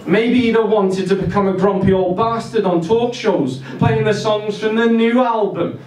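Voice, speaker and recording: Guy doing a grumpy nasal scouse rock star ghost, pitched up, swearing and ranting, roomy live story reading. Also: normal speed, darkish tone, close to an everyday voice. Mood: bitter. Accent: Liverpool Scouse. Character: dead rock legend, grumpy sardonic ranting Liverpudlian man. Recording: roomy distant live-event recording, noisy.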